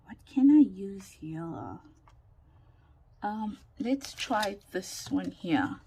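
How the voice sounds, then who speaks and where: A woman still recovering from a lost voice talks her viewers through a stamping project at an unhurried, slow pace. Her speech is loose and chatty, full of ums and trailing thoughts, and she sometimes slips into a near whisper. A faint hiss sits under the recording. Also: mid-range voice, darkish tone, rough recording. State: recovering from lost voice, sore throat. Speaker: craft vlogger. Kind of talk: casual crafting tutorial chat.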